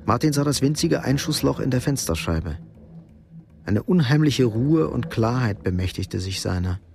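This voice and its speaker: A man reading scripted narration for a radio drama, with a gravelly voice. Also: medium pitch, expressive, normal speed, a little mellow.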